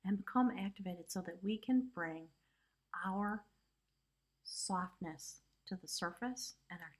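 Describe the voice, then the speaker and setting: A woman's voice: mid-range voice, husky. A woman giving a casual spiritual tarot reading, talking chattily with lots of "you know" and "right?" asides. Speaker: tarot reader.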